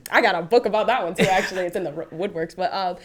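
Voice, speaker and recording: A woman's voice: on the low side, fast, crowd noise. A woman guest on a podcast, an author, telling self-deprecating stories, laughing often and filling her talk with "like". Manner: animated, laughs often, many "like" fillers; self-deprecating anecdotes with acted-out quotes. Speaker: guest, children's book author. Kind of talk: casual interview storytelling.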